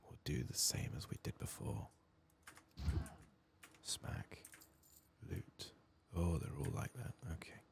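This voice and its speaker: A man doing a soft-spoken ASMR game playthrough, murmuring and often slipping into a whisper. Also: a bass voice, slow, gravelly, pauses often, a little hiss or hum. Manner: murmuring, drifting between soft speech and whisper. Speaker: ASMR gaming streamer.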